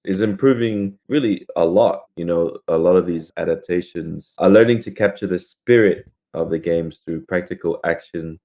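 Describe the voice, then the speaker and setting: A man's voice: low-pitched, normal speed, few pauses, mellow, muffled recording. A man co-hosting a podcast in casual, laid-back, slangy conversation. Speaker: podcast co-host. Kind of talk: casual chat.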